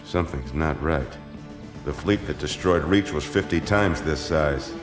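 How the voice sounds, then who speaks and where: An actor playing a stern fleet commander who gives clipped military orders in a game cutscene. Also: male voice, a bass voice, measured pace, over music. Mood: commanding. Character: stern older male fleet admiral. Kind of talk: cinematic game cutscene acting. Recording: roomy mix with loud score.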